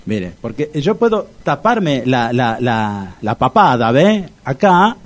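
An actor playing a bumbling, naive older bachelor in a radio comedy, stammering and repeating himself in a Rioplatense Argentine accent. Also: male voice, medium pitch, big pitch swings, takes it slowly. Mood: eager, proud. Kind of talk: radio comedy sketch acting.

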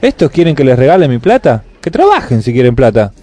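A man's voice: medium pitch, wildly swooping pitch, brisk. A man playing a stingy, self-righteous Buenos Aires businessman, indignant and blustering, in a comic radio play. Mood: indignant, griping. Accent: Buenos Aires Argentine. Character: grumpy stingy scheming middle-class businessman, self-righteous.

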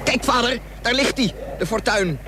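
A man's voice: very high-pitched, normal speed. A man playing a headstrong, earnest boy who pleads to go to sea, in a Dutch radio play. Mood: eager, earnest. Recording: old radio play with faint hum.

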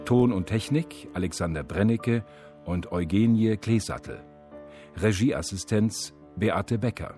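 A man reads radio drama credits as a formal announcer. His voice is very low and his delivery very slow, in short separate phrases over faint instrumental music. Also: warm tone.

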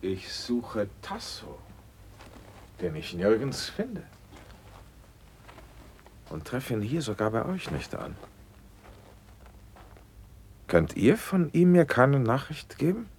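An actor playing an impatient, commanding prince in a classic German radio play, speaking stately verse with elevated rhetoric. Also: male voice, mid-range voice, wildly swooping pitch, slow, pauses often, darkish tone, slight background noise, heavily acted. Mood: puzzled, reproachful.

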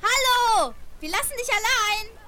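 A woman's voice: very high-pitched, expressive, unhurried, pushed and bright, heavily acted. A woman playing a cheeky, teasing character in a children's fairy-tale audio drama.

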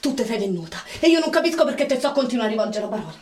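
A hot-tempered Roman woman in a radio drama, accusing and exclamatory with a Roman accent. Her high voice swoops emotionally and sounds a little distant in an echoey room. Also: very animated, rapid-fire, darkish tone. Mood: distrustful, cold. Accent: Roman Italian.

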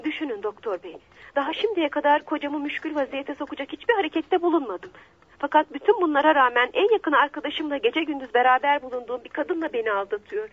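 An actress playing a coquettish, distraught young betrayed wife in a radio play, speaking in a formal, pleading, melodramatic way. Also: female voice, sits high, expressive, quick pace. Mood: aggrieved. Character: distraught, coquettish 22-year-old betrayed wife seeking help. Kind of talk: radio theatre acting. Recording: dull lo-fi radio drama recording.

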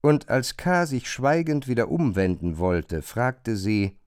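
Guy narrating a literary radio play, mid pitched voice, really dry studio. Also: big pitch swings, takes it slowly, a little mellow, clear, resonant tone, dry recording.

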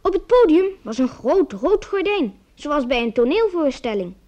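A woman's voice: high voice, big pitch swings, normal speed, darkish tone, lo-fi sound. A woman playing an eager village child who naively tells a story in a radio play. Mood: excited storytelling. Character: eager village child telling mother about the lion fair.

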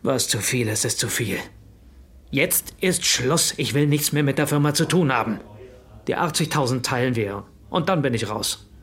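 An actor playing a bullying, greedy business partner in a German radio drama, brash and contemptuous, with bitter self-pity. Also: male voice, mid-range voice, expressive, moderate pace, fairly bright tone, natural voice. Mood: indignant anger.

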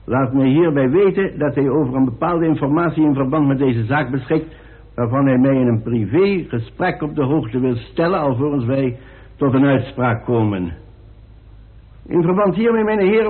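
An actor playing a formal, authoritative male coroner who speaks in a measured, official way in a radio play. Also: on the high side, close to an everyday voice. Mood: formal, grave. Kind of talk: Dutch radio drama acting. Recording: muffled old radio play recording.

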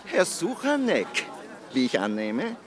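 A man's voice: fairly high pitch, wildly swooping pitch, takes it slowly, crowd noise, full character voice. An actor playing a prim, fawning man of about thirty in a radio drama, formal and eager to please. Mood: ingratiating. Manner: obsequious, formal.

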